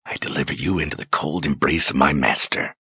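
A man voicing a cold, aloof sorceress who speaks with slow, quiet menace. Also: rumbling low, fairly even intonation, slightly rough, dry recording, clean studio sound, heavily acted. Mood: icy.

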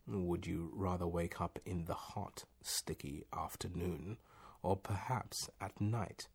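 Guy reading as a calm teasing spirit voice in a story, slow n husky, dry and mock polite. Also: low voice, heavily acted. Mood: dry amusement. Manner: measured, mock-polite, unhelpfully stern. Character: calm, wry, teasing disembodied voice.

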